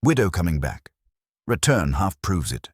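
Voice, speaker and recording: A man's voice: low voice, sing-song, normal speed, breathy edge, no echo, clean studio sound, heavily acted. A man playing a pompous, speculating town councillor in a mystery story. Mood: pompous, musing.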